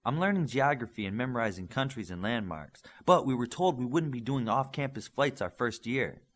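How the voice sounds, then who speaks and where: Eager teenage-style male hero played by a male audiobook reader: earnest, explanatory, lively intonation, slightly husky. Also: medium pitch, fairly quick, lightly acted. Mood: earnest, wistful. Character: eager young male superhero student, earnest and excitable.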